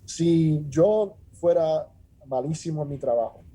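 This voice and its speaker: A middle-aged man from Rico, a judge talking earnestly in a measured way with many restarts and filler sounds. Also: a little high, dark tone. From Puerto Rico. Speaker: judge, interview guest. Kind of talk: casual interview talk.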